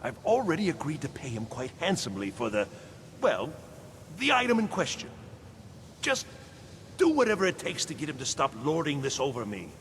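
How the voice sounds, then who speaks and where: An actor playing an agitated, self-important male mage in a video game, dramatic and halting. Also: huge pitch swings, a bit bright. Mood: flustered. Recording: echoey long reverb, faint rumble.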